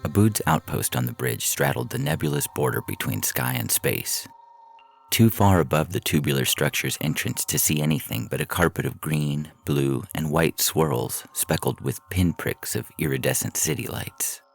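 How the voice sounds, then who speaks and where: A man narrating science fiction stories in a measured, deliberate way, with a gravelly voice. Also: extremely low pitch, lively intonation. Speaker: podcast story reader. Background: music.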